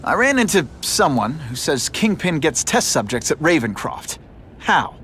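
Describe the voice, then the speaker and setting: A wisecracking young superhero, high-voiced. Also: male voice, big pitch swings, measured pace, a bit bright, slight background noise. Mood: probing. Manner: quippy, sarcastic banter. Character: young male masked hero, wisecracking and principled. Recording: game cutscene audio with music underneath.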